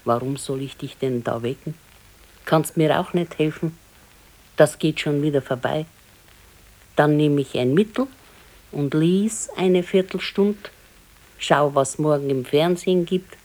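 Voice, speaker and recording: A woman playing an aging, resigned, gently chiding wife in a radio play, with a southern German, Bavarian accent and a matter-of-fact manner. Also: low voice, poor audio. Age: older. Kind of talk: naturalistic radio play acting.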